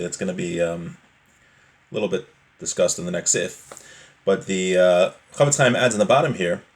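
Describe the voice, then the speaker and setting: Man teaching religious law, plain-spoken and explanatory. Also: lowish voice. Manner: explanatory, plain-spoken, repeats points in simple everyday examples. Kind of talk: informal religious text lesson, reading aloud and explaining.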